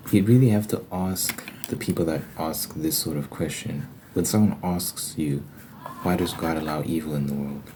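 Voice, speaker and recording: Deep, dark-toned man in casual ASMR monologue. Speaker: ASMR video creator. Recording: faint background noise.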